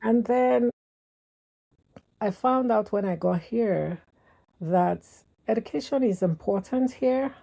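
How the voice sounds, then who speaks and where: A Nigerian woman with a Nigerian accent, a podcast guest calmly explaining her work with young people. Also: fairly high pitch, takes it slowly, gravelly, long pauses, breathy edge, dry recording, muffled recording. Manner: calm, explanatory, eases into points with fillers. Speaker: guest, youth mental health foundation founder. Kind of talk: casual interview conversation.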